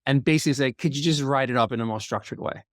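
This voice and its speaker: A man who speaks English co-hosts a podcast interview. His voice sits at a middle pitch. He talks in a reflective, loosely phrased way with many fillers, and the recording is dry and close. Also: very fast, dry recording. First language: Danish. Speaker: podcast co-host. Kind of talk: conversational interview.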